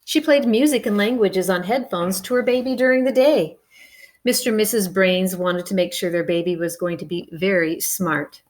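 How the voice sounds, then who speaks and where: A woman reading a children's picture book aloud, expressive and adding playful spoken asides. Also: medium pitch, moderate pace. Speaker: storybook reader.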